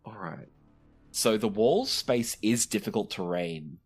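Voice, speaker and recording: A man with a low, husky voice acts as game master for a tabletop game. He describes the action as he goes and checks rules with the players. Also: expressive, unhurried, soft background music. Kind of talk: improvised tabletop game narration.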